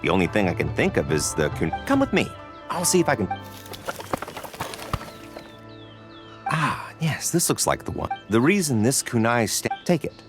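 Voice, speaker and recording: A man voicing a polite, discreet member of a ninja order in a video game, with a courteous, measured manner. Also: medium pitch, wildly swooping pitch. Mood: helpful, solemn. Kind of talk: video game character voice acting. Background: background music.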